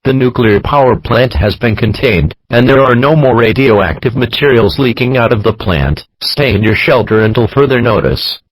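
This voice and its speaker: A man's synthetic voice reading a scripted emergency broadcast announcement in a formal, flat manner. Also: mid-range voice, moderate pace, hardly any pauses, poor audio.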